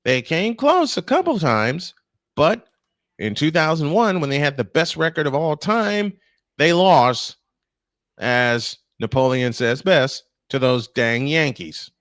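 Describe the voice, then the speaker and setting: A man's voice: on the high side, sing-song, slow pace, fairly bright tone, no room sound, clean studio sound. An American man doing baseball play-by-play mixed with casual chat, telling things in a folksy, repetitive way.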